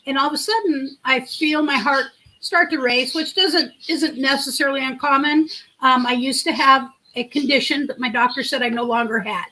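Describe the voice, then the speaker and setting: A woman's voice: sits high, normal speed, bright, forceful tone, poor audio. A middle-aged woman hosting a livestream, chatting in a rambling way, telling a story and answering comments from her chat. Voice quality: big voice. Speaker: livestream host. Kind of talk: casual live-chat storytelling.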